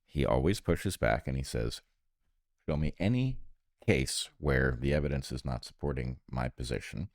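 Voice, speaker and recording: Man, extremely deep voice, dry close sound, deliberate, formal phrasing, podcast discussion. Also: animated, no room sound. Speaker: podcast co-host and biologist. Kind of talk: conversational commentary, reasoned argument.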